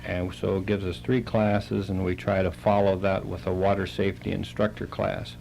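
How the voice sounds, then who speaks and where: A man, a halting and plain-spoken interview guest, explaining things on the radio. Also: sits low, little pitch movement, creaky, a little mellow, a little hiss or hum, rough recording. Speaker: interview guest, Red Cross chapter director.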